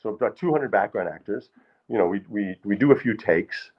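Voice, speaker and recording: A man's voice: lowish voice, expressive, fairly quick, lo-fi sound. Self-deprecating man telling stories onstage. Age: middle-aged. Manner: rambling, self-deprecating, many restarts. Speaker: storyteller performer. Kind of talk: live comedic storytelling. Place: small hall with live audience.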